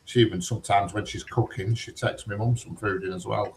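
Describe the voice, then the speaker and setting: A low-voiced, middle-aged man talks in a loose, rambling way. He is cheeky and chatty, full of dialect turns. A faint hiss sits under the recording. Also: fairly even intonation, fairly quick, low-quality recording. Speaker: conversation partner. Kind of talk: casual chat.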